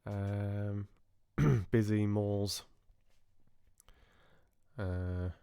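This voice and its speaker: A man's voice: fairly low pitch, fairly even intonation, drawn-out words, creaky, mellow, clear, resonant tone, dry recording, studio quality. A man hosting a livestream, chatting casually with viewers in a friendly, hesitant way with trailing asides. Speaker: livestream host. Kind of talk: casual chat with live viewers.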